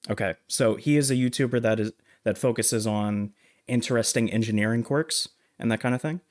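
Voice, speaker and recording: A man co-hosting a casual film discussion, explaining things in a halting, measured way. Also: mid-range voice, a little mellow. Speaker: podcast co-host.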